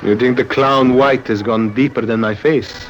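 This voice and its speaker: An actor playing a lovesick, melancholy Italian circus clown in a plaintive, theatrical old radio drama manner. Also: male voice, mid-range voice, steady tone, moderate pace, slight background noise, lightly acted, poor audio. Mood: wistful.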